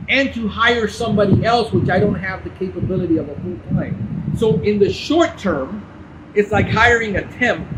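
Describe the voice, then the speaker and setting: An American man hosting a podcast in a casual, unscripted way, with a blunt, combative manner. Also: high-pitched. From Cuban, grew up in New Jersey and Miami. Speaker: podcast host. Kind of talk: casual unscripted live commentary. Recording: muffled, loud background noise.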